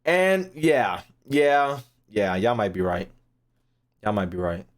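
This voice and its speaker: A man's voice: fairly low pitch, sing-song, takes it slowly. A man streaming casually, thinking aloud in a rambling way and swearing freely as he chats. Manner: rambling, foul-mouthed.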